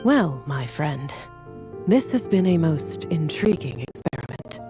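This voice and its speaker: An actress playing a courteous, scholarly academic in a video game, with formal, considered phrasing. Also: female voice, low-pitched, sing-song, measured pace, music in the background. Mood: measured, consoling. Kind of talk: dramatic game voice acting.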